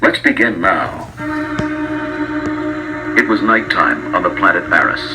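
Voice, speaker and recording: A man's voice: low voice, lively intonation, measured pace. A man narrating a scripted children's storybook as a dramatic storyteller. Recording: loud music behind.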